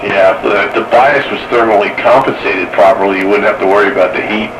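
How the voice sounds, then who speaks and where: Male radio tech hobbyist, fairly low pitch, wry and explanatory, very staticky transmission. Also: steady tone, quick pace, background noise. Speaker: CB radio operator, electronics hobbyist. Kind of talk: casual technical chat over CB radio.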